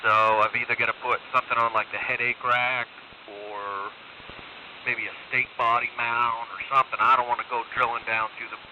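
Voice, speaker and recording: A man's voice: medium pitch, little pitch movement, moderate pace, pushed and bright, dull audio. A man in a laid-back, colloquial casual chat, heard over a noisy CB radio transmission with hiss. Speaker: CB radio caller. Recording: hissy, noisy CB radio signal.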